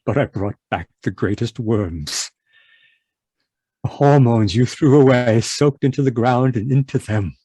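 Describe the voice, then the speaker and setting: An actor playing an anguished, haunted man in an old-time radio horror monologue, halting and repetitive in a melodramatic way. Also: medium pitch, mellow, husky, dry recording. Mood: haunted, brooding. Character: anguished, haunted man raving about monstrous giant worms.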